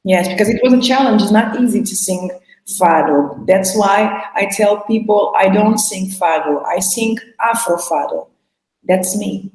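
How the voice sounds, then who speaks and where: A woman's voice: medium pitch, a little mellow. Woman chatting in english, keeps restarting her sentences, echoey room. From Portugal. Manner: halting, restarts phrases, laughs between answers. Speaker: singer, interview guest. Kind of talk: casual interview answers.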